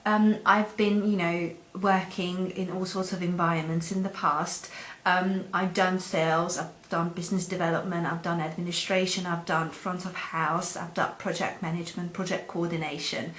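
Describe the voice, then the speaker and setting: A woman's voice: on the low side, steady tone, moderate pace, fairly bright tone. Hesitant woman, echoey room. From Hungary. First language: Hungarian. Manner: hesitant, many ums and uhs. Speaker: job seeker. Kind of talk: self-introduction video to employers. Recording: echoey room, faint background hum.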